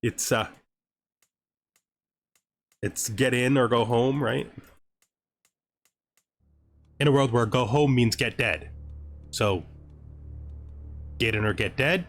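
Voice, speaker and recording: A man's voice: medium pitch, slow, pauses often. A man giving casual gameplay commentary, thinking aloud and often muttering questions and then answering them himself. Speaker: gamer narrating a let's play.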